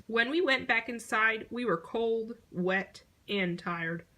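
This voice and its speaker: A woman reading a children's picture book aloud in an expressive storytelling manner. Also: on the high side, takes it slowly. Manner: expressive storytelling, acting out short exclamations.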